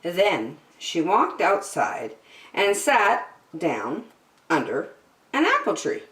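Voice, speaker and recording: A woman reads a children's story aloud at an unhurried pace. Her pitch swings widely as she stresses words with care, and the room sounds echoey. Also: far from the mic. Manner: expressive, with deliberate emphasis. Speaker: children's story-time reader. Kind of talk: animated picture-book read-aloud.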